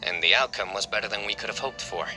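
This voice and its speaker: An actor playing a stern, formal young desert judge who speaks in a measured, serious way. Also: male voice, on the low side, music in the background, natural voice. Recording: game voice line over faint score.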